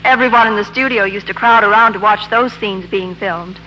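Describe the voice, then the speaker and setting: A woman speaks as a guest in a scripted 1940s radio commercial. Her voice is bright and her delivery prim and rehearsed, over the faint hiss of an old broadcast. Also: medium pitch, normal speed, lo-fi sound. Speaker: film studio actress, commercial guest.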